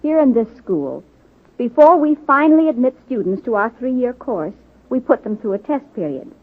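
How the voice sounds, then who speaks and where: An actress playing a kindly but exacting superintendent of nurses in 1940s radio drama style, speaking formally and deliberately. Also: female voice, on the high side, very animated. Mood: measured, instructive. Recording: muffled phone-like vintage radio recording.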